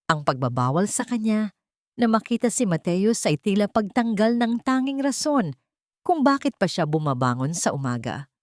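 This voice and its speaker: A woman's voice: mid-range voice, sing-song, moderate pace, no echo, clean studio sound. A woman audiobook narrator reading a scripted story in a dramatic storytelling style.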